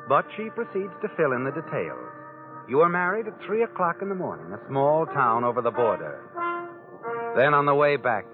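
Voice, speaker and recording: An actor playing an omniscient, taunting narrator of crime tales in vintage radio drama style, dramatic and knowing. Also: male voice, mid-range voice, lightly acted. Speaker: host and narrator. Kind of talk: 1940s-50s radio drama narration in second person. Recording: tinny band-limited vintage radio recording. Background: dramatic music.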